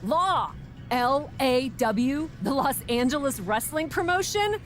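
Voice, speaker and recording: A woman's voice: high voice, expressive, slow, slight background noise. A woman playing a confident, wry pro wrestler in a video game cutscene, with a dryly sarcastic, playful manner.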